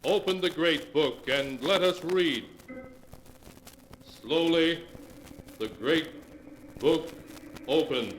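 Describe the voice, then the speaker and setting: An actor playing an ominous storyteller, narrating a horror anthology in a portentous, theatrical 1940s radio style. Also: male voice, a little high, takes it slowly, long pauses. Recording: noisy old 1940s radio recording.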